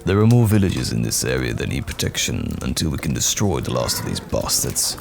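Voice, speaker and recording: A man playing a gruff but kindly knight general in a fantasy audio roleplay, speaking in hearty, archaic soldierly phrases with a gravelly voice. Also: sing-song, a little fast, noisy background, heavily acted. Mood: earnest, protective. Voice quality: very deep, gravelly.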